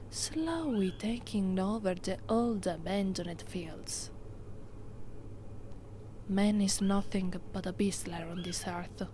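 A woman with an Italian accent playing a haughty, possessive immortal vampire who rules a castle, in a soft, imperious, often whispered ASMR roleplay. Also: medium pitch, slight background noise, natural voice. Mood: wistful, aloof. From Italy. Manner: imperious, slow and deliberate.

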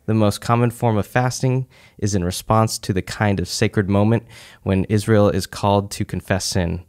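A young man with a fairly low, smooth and clear voice talks through a Bible teaching in a relaxed, chatty way, full of "uh" and "like." He sits at a studio mic on a boom arm in a small office room. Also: moderate pace. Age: young adult. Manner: relaxed, conversational, many "uh" and "like" fillers. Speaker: church teaching podcast speaker. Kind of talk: casual Bible teaching talk.

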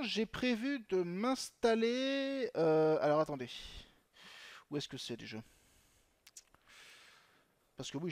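A man's voice: high-pitched, dry recording. A young man commenting on his gameplay in a chatty way, swearing often and talking to himself. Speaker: gaming channel host.

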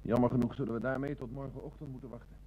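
A man playing a calm, polite, observant police detective in a Dutch radio play. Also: mid-range voice, racing, lo-fi sound, close to an everyday voice. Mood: matter-of-fact.

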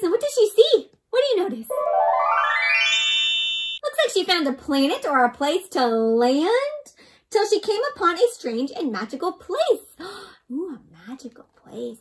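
A young woman reading a picture book aloud to children in an expressive, interactive way, often asking the listener questions. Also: high-pitched. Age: young adult.

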